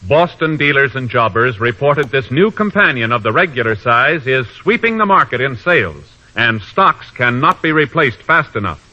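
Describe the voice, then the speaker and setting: A man's voice: medium pitch, very animated, moderate pace, few pauses, sharp tone. Formal American man announcing on vintage radio. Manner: formal, emphatic delivery. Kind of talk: 1950s radio announcing, scripted. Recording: dull vintage radio broadcast recording. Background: music.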